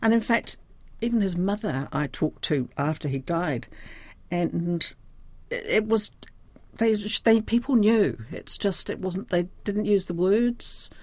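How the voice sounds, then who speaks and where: A woman's voice: on the low side, measured pace, darkish tone, no echo. Hesitant older New Zealand woman, close dry sound. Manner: hesitant, thoughtful, searching for words. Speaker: interview guest, diary editor. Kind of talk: reflective unscripted interview.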